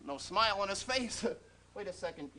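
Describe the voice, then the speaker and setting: A man's voice: sits high, expressive, normal speed, background noise. A man playing a clownish, eager royal jester, doing hammy, self-mocking comedy patter for a children's TV show.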